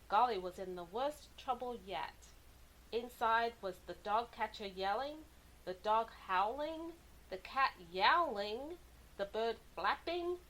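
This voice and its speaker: Slow, high-voiced woman storyteller with dramatic emphasis. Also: a bit bright, rough recording. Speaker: children's read-aloud storyteller. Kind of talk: expressive picture-book narration for kids.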